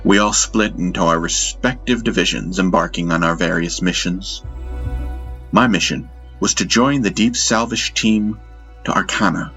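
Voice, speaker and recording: A man narrating first-person fiction in a measured, dramatic storytelling manner. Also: deep voice, fairly bright tone, faint music behind. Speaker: audiobook narrator.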